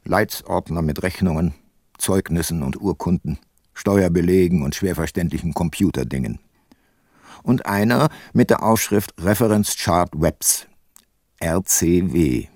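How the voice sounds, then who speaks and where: An actor playing an ageing, remorseful detective and former prosecutor in a radio drama, narrating and talking in a measured, reflective way. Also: male voice, deep voice, a little mellow, no echo, clean studio sound. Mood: reflective, sober. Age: older. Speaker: narrator and lead character.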